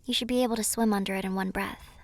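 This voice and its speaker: A mysterious female siren, acted by a woman in a fantasy audio drama, speaks at a middle pitch with gentle, guarded wistfulness. Her measured, soft delivery often sinks into breathy whispers, with clear ambient noise behind her. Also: fairly even intonation, close to an everyday voice. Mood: wry, gentle. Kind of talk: cinematic audio roleplay acting.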